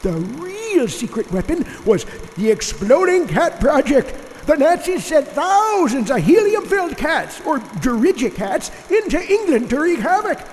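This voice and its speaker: Cartoon scientist, male actor: excitable lecturer, extremely high pitch, noisy reverberant sound. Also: measured pace, full character voice. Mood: lecturing. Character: excitable staff scientist doctor lecturing on absurd secret projects. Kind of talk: cartoon character acting. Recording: echoey hall with noise behind.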